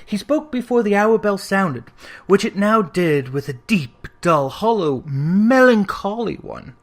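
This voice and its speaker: A man narrating a classic Victorian ghost story as an audiobook, in a theatrical, expressive storytelling manner. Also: sits high, unhurried.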